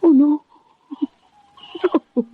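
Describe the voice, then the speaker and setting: An American woman playing a distraught, defensive rancher's wife in an old-time radio drama, with halting, dramatic delivery. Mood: shocked, grieving. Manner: dramatic, halting, plain country grammar. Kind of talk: mid-century American radio drama acting. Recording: dull, muffled old radio recording.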